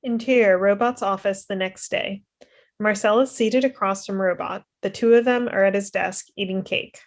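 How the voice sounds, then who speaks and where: A woman's voice: mid-range voice, moderate pace. Plain-spoken young woman reading stage directions. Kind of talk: scripted narration at a table read. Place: indoor room at home. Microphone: video call.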